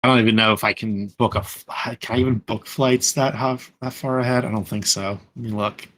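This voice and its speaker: A man's voice: fairly low pitch, fairly even intonation, brisk, fairly bright tone. A man chatting casually as a podcast panelist, rambling and correcting himself as he goes, often saying "like". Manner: rambling, self-correcting, frequent "like". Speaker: podcast panelist and fan dub voice actor. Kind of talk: casual group chat.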